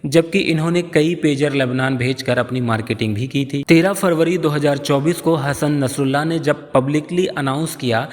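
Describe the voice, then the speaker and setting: A man's voice: on the high side, moderate pace, hardly any pauses. A man giving scripted explainer narration in an explanatory, storytelling manner. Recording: long reverb on voice.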